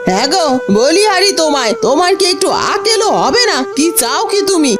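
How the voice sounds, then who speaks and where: Scolding greedy aunt for fairy-tale cartoon woman acting, high, very animated voice, sharp tongue, music underneath. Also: fairly quick. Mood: angry, nagging. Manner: sharp nagging, taunting, exclamatory. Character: greedy, bad-tempered, scolding village aunt, middle-aged.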